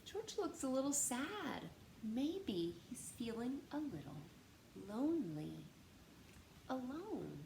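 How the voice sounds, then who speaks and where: A woman hosts a read-aloud for young children in a fairly high voice. Her delivery is lively and expressive, taking its time as she speaks straight to the listeners. The room rings noticeably, with slight noise behind her. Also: slow pace, gravelly. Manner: animated, addressing young children with questions. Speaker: storytime reader and host. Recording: roomy echo with faint background noise.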